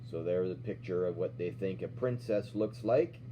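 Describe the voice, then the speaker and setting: A middle-aged man reading a children's storybook aloud as an instructor, pausing to explain and check in with questions. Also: sits low. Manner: checks in with questions, often ending "right?". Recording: dull lo-fi sound with faint hum.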